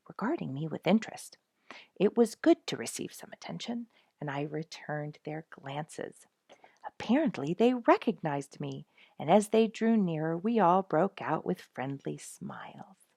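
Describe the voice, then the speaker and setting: A woman's voice: on the low side, gravelly, no room sound, studio quality. A woman hosting a story reading, narrating a children's book expressively with dramatic emphasis on key words. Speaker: host reading a book aloud to listeners.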